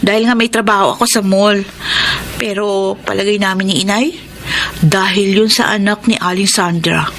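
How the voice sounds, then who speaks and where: A woman's voice: mid-range voice, steady tone, moderate pace, a bit bright, slight background noise, close to an everyday voice. A woman playing a caring young friend in a radio drama, chatting in a conversational, concerned way. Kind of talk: Filipino radio drama acting.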